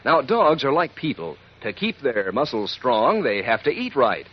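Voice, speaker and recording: Lively, husky American radio pitchman. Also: normal speed. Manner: peppy, folksy, emphatic. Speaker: commercial announcer. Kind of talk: 1940s radio advertising pitch to children. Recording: dull lo-fi 1940s radio recording.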